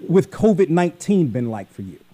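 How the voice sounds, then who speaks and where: A man's voice: on the high side, sing-song, brisk, mellow. A man hosting a radio interview show, emphatic and enthusiastic as he asks his questions. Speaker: radio host.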